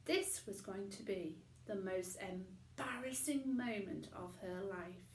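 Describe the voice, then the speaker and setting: A woman reading a children's story aloud in an expressive bedtime-story narration. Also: medium pitch, a little hiss or hum. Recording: echoey room.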